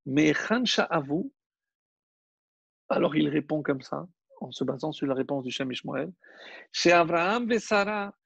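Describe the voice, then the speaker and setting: A man's voice: a little high, expressive, unhurried, gravelly, pauses often, a bit bright, slightly rough, no room sound, dull audio. A man, a rabbi whose first language is not French, giving an emphatic Torah class and calling out to his listeners. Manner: emphatic, addresses listeners directly.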